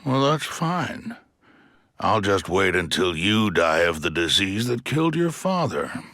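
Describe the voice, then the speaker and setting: Slow, grandiose crime boss. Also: male voice, sits low, sing-song, pushed and bright, exaggerated voice. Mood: quietly menacing. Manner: grandiose, deliberate, commanding. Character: imposing male crime boss posing as civic benefactor. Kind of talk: video game cutscene acting, public speech. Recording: very noisy outdoor background.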